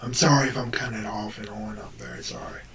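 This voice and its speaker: A young American man with a deep, damaged voice that drops into breathiness, telling a personal story casually and hesitantly to the camera. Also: steady tone, fast. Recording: noisy, distant microphone.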